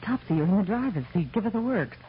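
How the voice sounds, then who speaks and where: A woman's voice: mid-range voice, animated, fast, natural voice. A woman playing a mischievous young ghost wife in an old radio comedy, breezy, playful, slangy and coaxing. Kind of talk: 1940s radio comedy acting. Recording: dull, hissy old radio recording.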